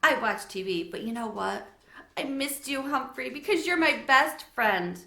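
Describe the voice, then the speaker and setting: A talkative, imaginative little girl from a storybook as a woman reader plays her, lonely and earnest. The voice is bright and gushing, and it rings in an echoey room. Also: high-pitched, expressive, unhurried, vocal fry, pitched above the performer's own voice, full character voice. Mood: chatty, affectionate.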